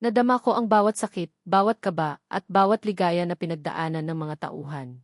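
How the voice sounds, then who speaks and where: A woman's voice: lowish voice, moderate pace, no echo, clean studio sound. A woman reading a scripted dramatic story in an earnest, sentimental manner. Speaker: story narrator.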